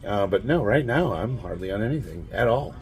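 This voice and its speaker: A man in his late forties with a raspy, nasal, stuffed-up voice, rambling bluntly and laughing often as he talks to camera. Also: lowish voice, animated, a little fast, a little mellow. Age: 40s. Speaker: fitness vlogger. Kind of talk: casual monologue to camera.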